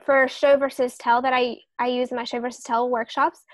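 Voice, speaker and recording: A woman with a fairly high voice talks on a podcast panel. She explains things earnestly, as an author giving advice. The sound is dry, with little room around it. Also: level tone, a little fast, dry recording. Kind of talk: podcast discussion, explaining writing tips.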